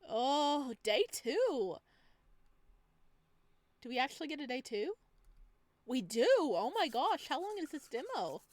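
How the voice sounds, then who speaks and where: Female let's play host, high-ish voice, closely recorded, expressive and playful while narrating a visual novel. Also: slow, long pauses, dry recording. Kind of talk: reading visual novel aloud with casual commentary.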